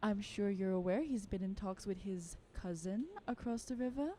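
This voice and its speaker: A woman's voice: mid-range voice, normal speed, mellow, slight background noise, lightly acted. Actress as a condescending, scheming vampire noblewoman. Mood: mocking, sly. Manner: condescending, drawling, teasing. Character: scheming, taunting female vampire aristocrat, condescending and amused. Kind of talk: audio drama acting.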